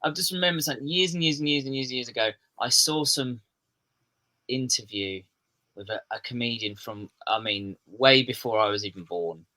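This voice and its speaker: English man from the southeast, guest on a chat show, rambling and self-mocking, bright-toned delivery. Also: mid-range voice, a little fast, poor audio. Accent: English, southeast England. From England. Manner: rambling, restarts sentences, self-deprecating humour. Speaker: podcast guest, metal musician. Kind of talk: casual podcast chat.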